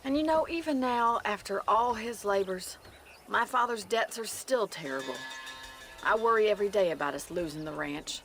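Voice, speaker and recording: An actress playing a hardy, earnest American rancher's daughter of the Old West, frank and practical, in a video game. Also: female voice, mid-range voice, lively intonation, moderate pace, fairly bright tone, faint music behind. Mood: earnest, concerned. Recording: outdoor animal and hoofbeat noise behind.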